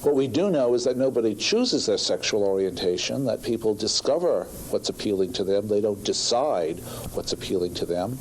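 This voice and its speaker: Male expert interviewee, lower register, measured and formal, slight background rumble. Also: lively intonation, low-quality recording.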